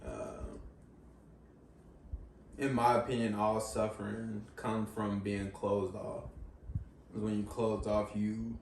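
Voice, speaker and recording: A man's voice: on the low side, lively intonation, slow pace, vocal fry, long pauses, a little mellow. A young man speaking thoughtfully in long reflective strings, seated on a couch in a living room, recorded by a far camera. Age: young adult. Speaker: guest in a discussion. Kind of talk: casual reflective chat. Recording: roomy sound from far off, faint hiss.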